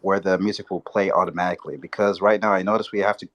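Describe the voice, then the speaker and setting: A man joining a live discussion as a participant, talking casually in a rambling, halting way. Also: sits low, level tone, fairly bright tone. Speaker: audience participant.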